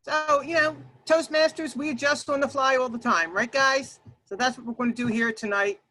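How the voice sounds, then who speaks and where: A woman presenting an online workshop over a video call, talking loudly and emphatically and addressing her audience directly. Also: sits high, steady tone, normal speed, low-quality recording.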